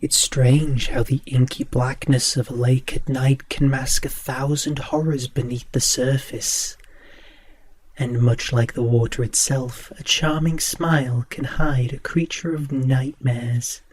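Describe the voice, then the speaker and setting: Casting: actor as ominous male ghost-story radio host, very measured pace, solemn, faint background ambience. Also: mid-range voice, fairly even intonation, darkish tone, a little hiss or hum, natural voice. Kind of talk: scripted horror radio hosting.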